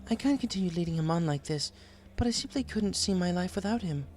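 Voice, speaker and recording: A man's voice: high-pitched, animated, fast, a little mellow, voice pushed up. A man voicing a refined, melodramatic female pony seamstress in an audiobook, prim, genteel and given to wistful whining. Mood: wistful, hesitant. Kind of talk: audiobook character voice.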